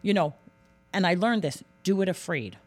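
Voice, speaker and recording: A woman's voice: lowish voice, lively intonation, normal speed, darkish tone. Woman host, casual and earnest, with a faint music bed behind her. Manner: heartfelt, many "like" and "you know" fillers. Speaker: podcast host. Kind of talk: casual group chat.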